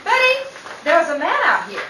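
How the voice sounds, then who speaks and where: A woman playing an excitable, naive, chatty 1930s newspaper gal in breezy old-time radio comedy style. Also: very high voice, moderate pace, full character voice. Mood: excited, gushing. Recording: distant, echoey recording with loud crowd noise.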